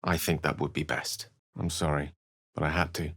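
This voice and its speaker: A man's voice: rumbling low, little pitch movement, normal speed, a bit bright, breathy edge, full character voice. A man voicing a learned, wry and romantic wizard in a video game, speaking in an articulate, theatrical way. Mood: tender, regretful. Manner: theatrical, articulate, literary phrasing. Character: learned human male wizard, eloquent, wry, romantic and dramatic. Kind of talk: video game character voice acting.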